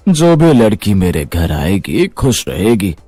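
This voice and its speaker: A man's voice: lowish voice, very animated, takes it slowly, warm tone, breathy edge, no echo, faint music behind, pitched below the performer's own voice, full character voice. A man voicing a demanding old father-in-law, grumbling and bossy, in a cartoon moral story. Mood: boastful. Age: older. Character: demanding old father-in-law, strict, self-satisfied patriarch.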